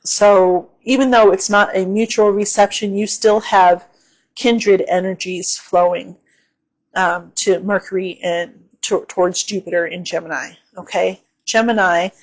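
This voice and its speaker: Slow, low-voiced woman astrologer, slightly hoarse. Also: fairly even intonation, rough recording. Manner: unhurried, with frequent "um"s and "okay?" check-ins. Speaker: astrologer and channel host. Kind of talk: casual astrology forecast.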